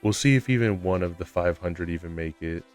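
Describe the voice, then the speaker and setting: A young man with a low voice, chatting casually with his live-stream chat in a laid-back, rambling way at a desk mic at home. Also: brisk, darkish tone, faint music behind.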